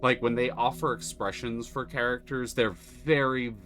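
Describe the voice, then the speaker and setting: A man's voice: mid-range voice, level tone, moderate pace, fairly bright tone. Rambling enthusiastic male streamer. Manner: rambling, enthusiastic, many restarts. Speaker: streamer playing a game. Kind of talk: casual chat, explaining game mechanics. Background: music.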